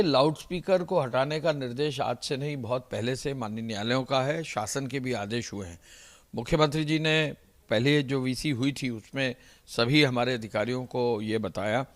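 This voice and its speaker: A man speaking as an official, giving a formal, explanatory statement to the press in a measured manner. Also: mid-range voice, fairly bright tone. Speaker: government official.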